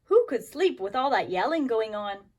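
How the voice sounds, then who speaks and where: A woman with a high voice talks to young children as their teacher. She is expressive and keeps her phrasing simple, whether reading a storybook or explaining a craft. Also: moderate pace. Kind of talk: storybook read-aloud and craft instructions for children.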